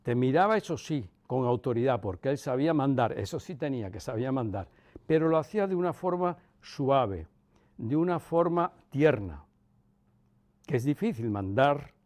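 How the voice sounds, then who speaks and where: Reflective older man, softened Cuban accent. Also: mid-range voice, lively intonation. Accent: Cuban softened by Castilian Spanish. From Cuba. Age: 60s, about 64. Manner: measured, pausing, emphatic repetition. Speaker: interviewee. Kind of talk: reflective personal reminiscence.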